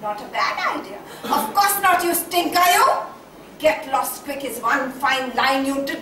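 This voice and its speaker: A woman's voice: high voice, expressive, a crowd in the background. A woman playing an eccentric, passionate, half-mad character in a poetic, declamatory stage monologue. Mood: wild, taunting. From India. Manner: declamatory, poetic, dramatic drawn-out delivery. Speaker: stage actor. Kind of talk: theatrical one-act play monologue. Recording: echoey room, distant mic, faint noise.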